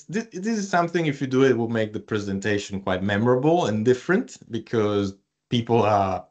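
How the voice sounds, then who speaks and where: A man from Italy with an Italian accent, presenting an online workshop and chatting with the audience, with many fillers and restarts. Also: on the low side. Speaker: research communication presenter.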